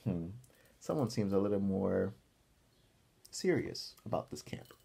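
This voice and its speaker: A man reads to young children in an unhurried, slightly husky voice. His delivery is lively and expressive, and he stops to explain things and ask simple questions as a teacher would. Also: low voice. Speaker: teacher reading a picture book aloud to young children. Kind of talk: animated read-aloud with questions to young listeners.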